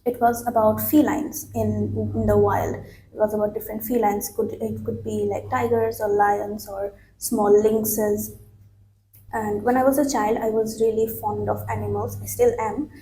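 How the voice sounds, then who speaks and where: A woman's voice: mid-range voice, flat delivery, unhurried, dark tone. A young woman from Bangladesh taking a mock English speaking test, answering with many fillers and restarts. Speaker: IELTS mock test candidate. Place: small office room, indoors. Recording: echoey room with faint noise.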